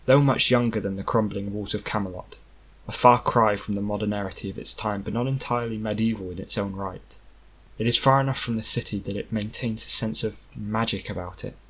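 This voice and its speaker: A man narrating a scripted fantasy story as an audiobook, in a deliberate, dramatic storytelling manner. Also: fairly low pitch, steady tone, a bit bright, breathy edge, rough recording.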